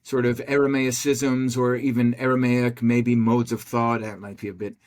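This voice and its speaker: A man's mid-pitched voice, asking questions as an interviewer in conversation. He is tentative, hedges often and works out each question aloud as he goes. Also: level tone, normal speed, darkish tone. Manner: tentative, hedging, thinking through questions aloud.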